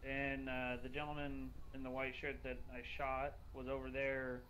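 A man speaks over a clearly noisy voice chat with game ambience behind him. He improvises a police report in a matter-of-fact, halting way at a middling pitch. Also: monotone, a bit bright. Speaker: online roleplayer acting as a police officer.

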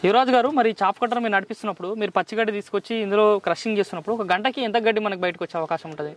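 A young man hosting an outdoor farm interview, prompting quickly and echoing his guest's answers back. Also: high-pitched. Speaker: host interviewing a farmer. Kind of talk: agricultural interview. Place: outdoors on a farm beside a tractor.

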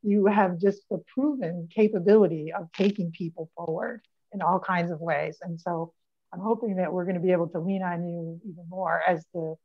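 A woman's voice: lowish voice, moderate pace. A woman in her sixties, a state senator, talking animatedly on a panel over a video call from a home office. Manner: animated, gestures a lot while talking. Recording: slightly dull video call audio.

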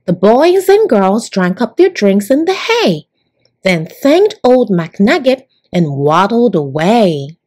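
A woman storyteller reading a picture book aloud to young children in a deliberate, expressive way. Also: mid-range voice. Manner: deliberate, animated, addressing young listeners.

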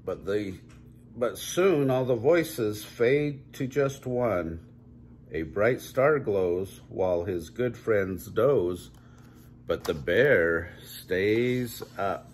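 An older man reading a children's story aloud at home in measured, drawn-out storybook phrasing. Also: lowish voice, lively intonation, a little hiss or hum. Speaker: grandpa reading a picture book aloud. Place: small home room, indoors.

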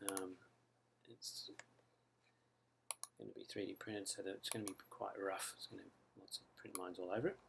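A man with an Australian accent walks through a design program one step at a time, relaxed and unhurried, dropping the odd "um". His voice sits low with a gravelly edge, and the recording carries clear background noise along with mouse clicks and typing. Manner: relaxed step-by-step explaining with frequent ums. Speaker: software author demonstrating his add-in. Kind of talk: screen-recorded software tutorial. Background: mouse clicks and keyboard typing.

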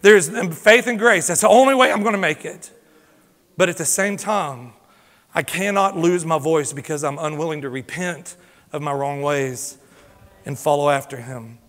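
A pastor preaching a fervent sermon, swinging from loud exhortation to hushed, confessional pleading. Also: male voice, a little high, normal speed. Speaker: lead pastor. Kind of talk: impassioned sermon. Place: church sanctuary. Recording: long hall reverb from a church sanctuary.

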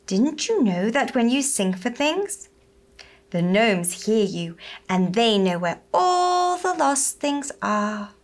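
A woman's voice: a little high, very animated, takes it slowly, heavily acted. A woman voicing a kindly, helpful star fairy in a sweet, encouraging singsong for a children's puppet tale.

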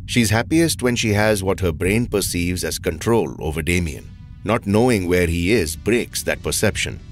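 A man playing an analytical older psychologist in an audio drama, deliberate, composed and clinical. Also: deep voice, expressive, faint music behind. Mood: calm, analytical.

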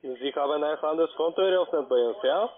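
A man's voice: a little high, fairly quick. A man who is a non-native speaker making a scripted sales call as a telephone agent. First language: not German. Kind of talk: scripted phone sales pitch. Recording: tinny narrow-band phone line.

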